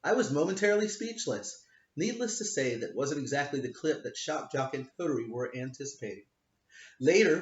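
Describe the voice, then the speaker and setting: A man, a lawyer, reading his own book aloud in a wry, offhand way, stressing the punchlines. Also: a little high. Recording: roomy, dull lo-fi home recording.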